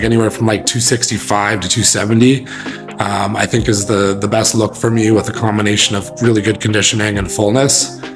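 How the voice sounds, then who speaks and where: A bodybuilding coach in his thirties talks to camera from a small, bright room, his fairly low voice slightly raspy from a lingering cold. He rambles easily, leaning on fillers as he goes. Quiet music sits underneath. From Canada. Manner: relaxed and rambling, many fillers. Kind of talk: casual talk to camera.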